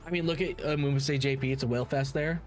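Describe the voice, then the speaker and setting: A man's voice: medium pitch, fairly even intonation, fast talker, soft background music. A man giving casual livestream commentary on games as the host, rambling and opinionated, with casual swearing.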